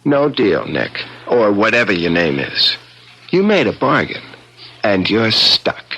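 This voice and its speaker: A man's voice: fairly low pitch, sing-song, measured pace, sharp tone, husky, full character voice. A man playing a headstrong, ambitious young man, insistent and argumentative, in 1970s radio drama style. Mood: defiant, suspicious.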